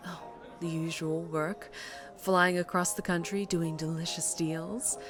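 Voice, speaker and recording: Woman voicing a female crime boss, lowish pitch, sultry drawl, teasing menace, ASMR roleplay, background music. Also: fairly even intonation, measured pace, close to an everyday voice. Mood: smooth, quietly menacing.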